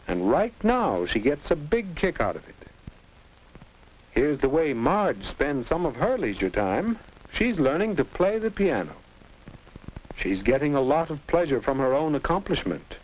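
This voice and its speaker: A man playing a patient American narrator in a 1950 educational film, guiding a teenager in a measured, reassuring, instructive way. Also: mid-range voice. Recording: noisy 1950 film soundtrack.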